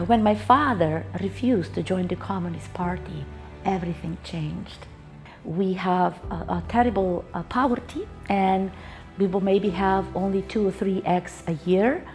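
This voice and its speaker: A woman speaks in a fairly low voice. She is earnest and a little halting. Soft music plays faintly behind her. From Slovenia. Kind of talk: personal testimony to camera.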